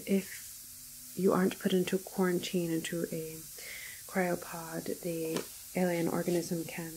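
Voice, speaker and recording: A woman playing a calm, clinical doctor in an ASMR roleplay, soft-spoken and sometimes whispering, explaining things in a hesitant, measured way. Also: lowish voice, slight background noise, poor audio. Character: calm, clinical woman doctor at an alien-virus quarantine facility.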